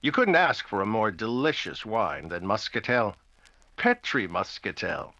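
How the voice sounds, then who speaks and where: An actor playing a genial, polished radio announcer in 1940s style, delivering scripted pitches and narration. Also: male voice, expressive, measured pace, bright, forceful tone, lo-fi sound, a little hiss or hum, exaggerated voice. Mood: genial, selling.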